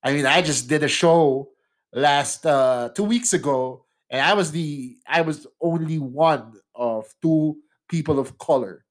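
A man's voice: on the high side, unhurried. A man from the Philippines hosting a podcast, chatty and self-mocking as he introduces his guests. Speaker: podcast host.

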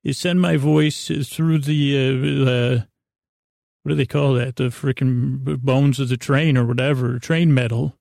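A man hosting a bedtime podcast in a creaky voice, meandering through a goofy, self-deprecating monologue with halting, trailing sentences. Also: medium pitch, steady tone, darkish tone, no echo, clean studio sound. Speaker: podcast host.

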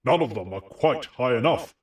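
A man voicing an immense, weary giant in a storybook, boastful and hearty, with a grandly deliberate delivery. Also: lively intonation, far from the mic, lightly acted. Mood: coaxing, measured. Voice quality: big, deep, rough voice as scripted.

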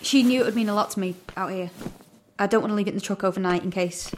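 A woman's voice: medium pitch, fast talker, slight background noise, close to an everyday voice. An actress playing a wary, defiant young woman in a dystopian audio drama, in both dialogue and intimate narration. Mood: nervous, evasive. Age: young adult.

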